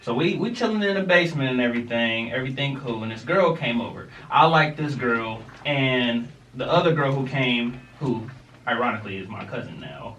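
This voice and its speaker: A young man co-hosting a podcast, telling a childhood story in an animated, slangy, laughing way. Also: medium pitch, normal speed. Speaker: podcast co-host. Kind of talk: casual storytelling. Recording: roomy, distant mic with faint noise.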